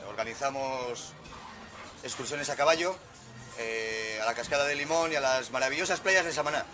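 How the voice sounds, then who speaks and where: A man's voice: medium pitch, normal speed. A man in Spain, chatting casually as an ecotourism guide. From Asturias, Spain. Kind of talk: casual interview. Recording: music loud behind voice. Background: music.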